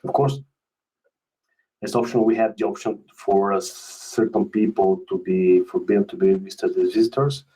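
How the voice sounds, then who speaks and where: A man giving a webinar product presentation as a specialist, speaking in a hesitant, halting way and often repeating words. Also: fairly low pitch, fairly even intonation, warm tone, breathy edge, poor audio.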